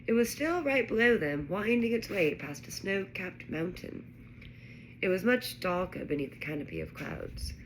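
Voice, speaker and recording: Female storybook reader, lower register, expressive and dramatic narration, light background noise. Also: normal speed. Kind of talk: expressive read-aloud narration of a children's novel.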